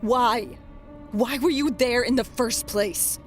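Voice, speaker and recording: An actress playing a stern, suspicious officer in an audio drama, curt and commanding. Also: female voice, a little high, slow, soft background music. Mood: angry, suspicious.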